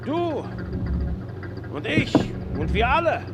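An actor in a German radio play as a busy, dutiful loader, curt and indifferent. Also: male voice, extremely high pitch, sing-song, takes it slowly, over music, heavily acted. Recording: loud vehicle noise behind.

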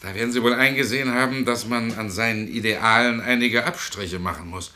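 A man's voice: mid-range voice, a little fast, pushed and bright. A man playing a cynical, mocking doctor in a German radio play, with a sardonic, blunt way of talking. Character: cynical, mocking male doctor, worldly realist.

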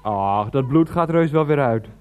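A man's voice: mid-range voice, animated, a little slow, narrow, tinny audio, lightly acted. A man playing a flustered adult son who stammers and keeps getting exasperated with his mother on the phone, in a 1990s radio play. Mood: bewildered. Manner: stammering, exasperated, bursts into laughter. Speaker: character in a radio drama.

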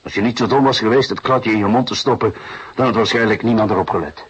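An actor playing a patient, fatherly, wry police detective in a Dutch radio play, speaking in deliberate, musing phrases. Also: fairly low pitch, husky. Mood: probing, wry. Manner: deliberate, hesitant musing with repeated assents. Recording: muffled radio drama recording.

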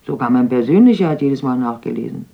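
A shrewd old village haggler with a gravelly, creaky voice, rambling from one notion to the next. The radio play recording sounds dull and lo-fi. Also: male voice, medium pitch, lively intonation, quick pace. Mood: haggling. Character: sly old village man haggling over flour.

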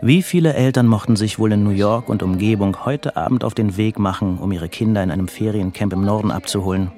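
A man's voice: lowish voice, brisk, dark tone, lightly acted. A man narrating a radio play in a literary, restrained and introspective way, with music behind him. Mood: measured, reflective. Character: restrained, introspective male narrator of a crime novel.

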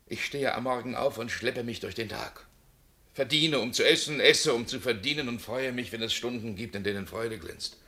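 A man's voice: mid-range voice, moderate pace, bright, forceful tone. An actor playing a condemned man who pleads his innocence from his cell. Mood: despairing. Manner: impassioned, crying out his innocence. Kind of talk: radio drama acting.

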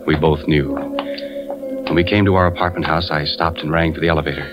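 Troubled 1940s radio husband, dull recording. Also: male voice, very deep voice, steady tone, normal speed, over music. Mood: grim, brooding. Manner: brooding narration breaking into intense urgent dialogue. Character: troubled husband and fire inspector, narrating grimly, urgently pleading. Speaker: actor and narrator in a radio play. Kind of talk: 1940s radio suspense acting, first-person narration.

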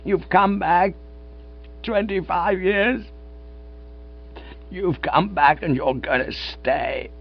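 A man's voice: high voice, slow, vocal fry, pauses often, soft background music, voice pushed up, heavily acted. A man voicing an old male stage veteran, blustering and insistent, in a storybook reading. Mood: ardent. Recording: dull lo-fi with mains hum.

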